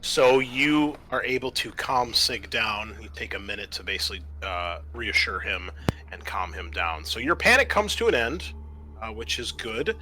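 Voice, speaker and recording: Bright-voiced man running a tabletop game. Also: lowish voice, expressive, moderate pace, slight background noise. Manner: explains rules and narrates player actions. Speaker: game master and host.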